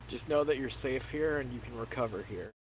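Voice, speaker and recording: A gentle sci-fi medic played by a man in an online roleplay, offering soft reassurance. The voice is of average pitch, with faint noise behind it. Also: fairly quick, natural voice, poor audio. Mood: soothing. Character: gentle, soothing sci-fi medic watching patient's vitals. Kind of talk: improvised online roleplay acting.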